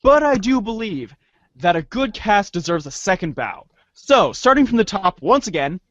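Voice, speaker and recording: A male host signs off an amateur show with playful theatricality. The voice is high for a man, casual in delivery, and captured dry with a slightly dull, lo-fi sound. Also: big pitch swings, moderate pace, fairly bright tone, dry recording. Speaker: host and cast member. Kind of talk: casual podcast sign-off.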